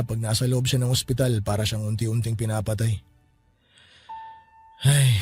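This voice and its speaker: An actor playing a grieving, guarded and easily frightened son in a Filipino radio drama, speaking colloquially with emotion. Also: male voice, medium pitch, darkish tone, lightly acted. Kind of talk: Tagalog radio drama acting. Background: background music.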